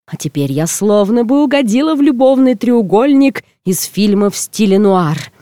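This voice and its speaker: A woman reading first-person comic fiction aloud as an audiobook narrator, in an expressive, theatrical way. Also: mid-range voice, moderate pace, no room sound.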